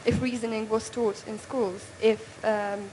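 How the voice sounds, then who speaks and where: A woman with a slightly high voice posing a question from the floor of a conference. She is measured and tentative, often hedging with "perhaps". A faint background noise sits behind her. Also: level tone, a little mellow, rough recording. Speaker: audience member.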